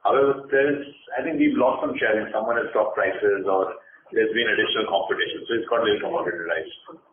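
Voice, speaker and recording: A man's voice: fairly low pitch, a little fast, narrow, tinny audio. A man, an executive answering analysts on an earnings call in a guarded, hesitant manner. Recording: echoing phone conference line, faint noise.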